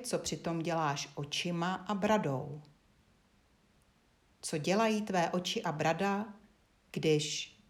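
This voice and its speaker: A woman instructor guiding a body-awareness lesson, giving short spoken directions with frequent pauses. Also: low voice.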